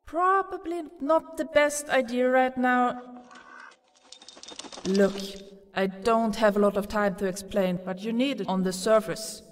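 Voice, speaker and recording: A woman with a Scandinavian accent playing a brisk, determined forest-dwelling podcaster in an audio drama. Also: fairly high pitch, slight background noise, close to an everyday voice. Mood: brisk, matter-of-fact. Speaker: podcast host and creator.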